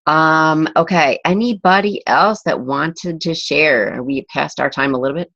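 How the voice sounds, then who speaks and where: A woman's voice: deep voice, normal speed, gravelly, fairly bright tone, no room sound. A woman leading her team on a group video call, upbeat and emphatic as she encourages everyone. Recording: dull video call audio.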